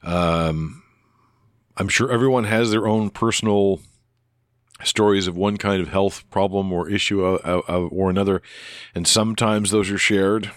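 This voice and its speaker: A man with a deep voice talking casually into a close microphone on his podcast, rambling and hesitant with many restarts and fillers. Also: steady tone, moderate pace, no echo. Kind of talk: casual spoken reply to another podcaster.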